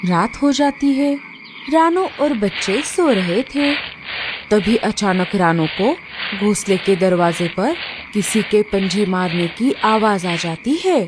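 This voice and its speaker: A woman's voice: medium pitch, expressive, slow. A woman narrating a scripted children's story, recorded with noise behind.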